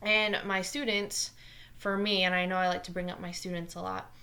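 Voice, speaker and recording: Fast-talking New Jersey woman teacher. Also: fairly low pitch, level tone, bright, forceful tone. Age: 20s. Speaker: math teacher. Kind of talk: scripted lesson narration.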